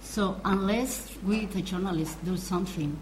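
A woman's voice: medium pitch, moderate pace, a little hiss or hum, poor audio. A woman journalist from Spain on a conference panel, earnest and searching for words.

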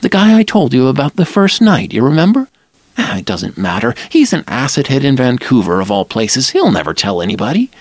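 A man voicing a high, fragile young woman. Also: big pitch swings, a little fast, no room sound. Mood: confiding. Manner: breathless, pleading, voice lifted high. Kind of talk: audiobook character voice.

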